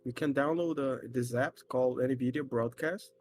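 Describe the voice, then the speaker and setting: Halting man on a voice call. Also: medium pitch. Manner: halting, restarts words, searches for phrasing. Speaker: guest trader on a voice call. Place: small rental apartment with a washing machine running. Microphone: laptop mic over voice chat. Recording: quiet voice chat audio with heavy noise suppression.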